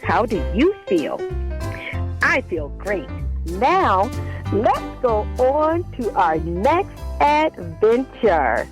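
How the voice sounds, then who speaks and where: A woman voicing a calm, encouraging children's storybook narrator with childlike, expressive inflection. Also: a little high, extremely slow, soft background music, exaggerated voice. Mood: gentle, bright. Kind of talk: storybook reading.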